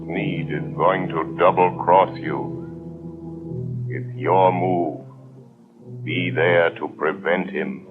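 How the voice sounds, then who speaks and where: An actor playing a menacing invisible avenger in a 1930s radio drama, speaking deliberately and tauntingly. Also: male voice, low voice, fairly even intonation. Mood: eerie warning. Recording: muffled 1930s radio recording with music.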